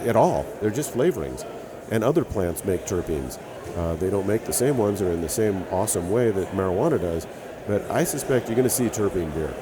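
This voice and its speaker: A man's voice: lowish voice, animated, brisk, crowd noise. A man with a Hawaiian accent, a brewer and author telling stories as a guest in a casual interview.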